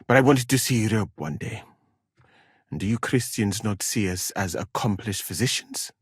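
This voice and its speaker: Learned medieval physician, lowish voice, slightly husky, dignified, formal, dry wit, video game dialogue. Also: male voice, no room sound. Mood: earnest, courteous. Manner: measured, polite, formal, articulate.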